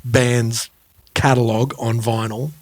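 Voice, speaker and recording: A man's voice: medium pitch, level tone, takes it slowly, no echo. A man co-hosting a podcast, telling anecdotes in a casual, informal way. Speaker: podcast co-host. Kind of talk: casual music podcast chat.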